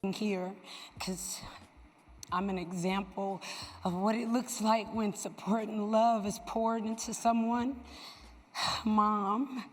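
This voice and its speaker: A woman's voice: medium pitch, fairly even intonation, slow, long pauses, soft background music. A woman giving a heartfelt public acceptance speech in a large hall. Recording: reverberant hall, played as a clip.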